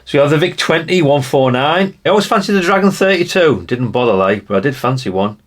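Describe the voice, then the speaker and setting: A man's voice: a little high, expressive, fast talker. A man, giving casual, nostalgic commentary in a rambling, chatty way, musing aloud to the viewer. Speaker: retro collector presenting a video.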